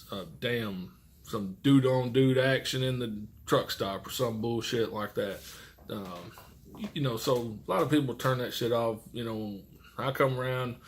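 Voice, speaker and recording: An American man who works as a truck driver, giving casual, rambling tips in blunt, profane, folksy vernacular. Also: mid-range voice. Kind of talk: casual rambling vlog monologue.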